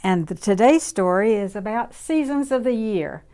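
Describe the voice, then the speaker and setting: A woman reading a picture book aloud to young children in an expressive, deliberate, sing-song way. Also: mid-range voice. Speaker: children's story reader.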